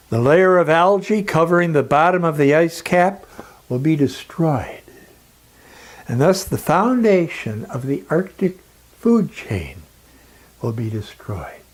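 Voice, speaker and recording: A man reads a scripted educational talk like a lecturer. His delivery is very slow and deliberate, with dramatic pauses. His pitch swings widely as he stresses key words emphatically.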